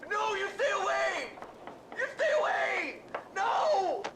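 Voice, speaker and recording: A terrified victim in a horror skit, acted by a woman, extremely high and wildly swinging in pitch. The words come slowly, with faint noise behind. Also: very animated, a bit bright, a faint crowd, exaggerated voice. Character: terrified victim confronted by an intruder.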